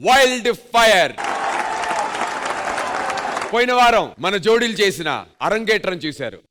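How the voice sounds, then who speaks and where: A man hosting a TV dance show on stage, announcing in an emphatic, theatrical, high-energy style. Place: TV studio stage. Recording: loud music behind the voice.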